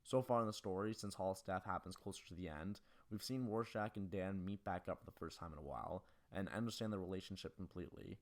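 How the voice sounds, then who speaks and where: A man's voice: deep voice, quick pace, few pauses, a little hiss or hum. A man reading a scripted film analysis as host of a video essay.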